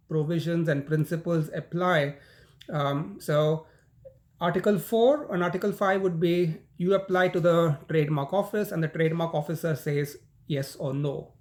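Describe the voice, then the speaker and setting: A man with an Indian accent teaching a university law class, his voice sitting a little higher than most men's. He talks in a loose, chatty way, full of "you know" and false starts, as he walks students through the material. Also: darkish tone. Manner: conversational, frequent "you know" and "um", repeats words. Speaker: law lecturer. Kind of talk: recorded university lecture.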